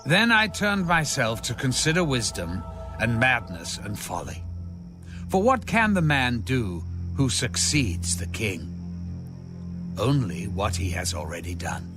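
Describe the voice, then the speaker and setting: A man giving a solemn, deliberate, dramatic audiobook reading of scripture. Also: medium pitch, big pitch swings. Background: background music.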